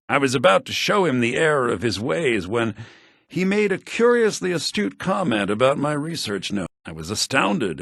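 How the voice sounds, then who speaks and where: A male actor playing a refined, earnest scholar-mage in a video game, speaking in an articulate, formal, measured way. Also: on the high side, vocal fry, fairly bright tone, slightly rough, no echo, clean studio sound, full character voice. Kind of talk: video game character dialogue.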